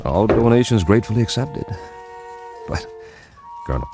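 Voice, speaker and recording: An actor playing a weary but determined government codebreaker in a radio drama, with an emphatic, wry manner. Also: male voice, on the low side, big pitch swings, normal speed, music in the background. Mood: weary, exasperated.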